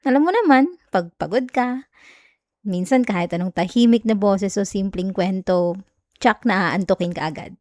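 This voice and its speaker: Sweet, affectionate young Filipino wife, played by a woman, soft and intimate ASMR bedtime roleplay, mid-pitched, very dry close-mic sound. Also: moderate pace, no room sound, natural voice. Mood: playful, coaxing. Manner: soft, giggly, affectionate, coaxing. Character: sweet, clingy, teasing young wife soothing her partner. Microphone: close microphone, dry sound.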